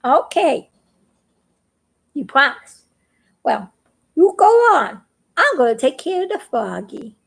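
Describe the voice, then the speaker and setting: A woman voicing a hungry female bird who speaks slowly and sternly, relishing her words. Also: high voice, sing-song, creaky, a bit bright, exaggerated voice. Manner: slow, drawn-out, relishing.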